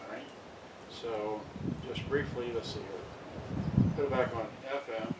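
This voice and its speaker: A man's voice: medium pitch, a little slow. Man, casual hands-on demo, rambling self-talk, distant mic, echoey room. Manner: rambling, muttering to himself as he works. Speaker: vlogger showing vintage electronics. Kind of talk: casual unscripted unboxing demonstration. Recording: roomy, distant mic, loud background noise.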